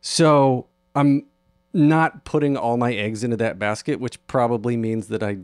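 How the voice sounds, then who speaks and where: A man's voice: mid-range voice, fairly even intonation, a little slow, smooth, no room sound, studio quality. A man hosting a theatre podcast, friendly and reflective, often restarting his phrases. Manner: friendly, self-reflective, repeats words when starting. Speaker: podcast host. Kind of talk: casual interview chat.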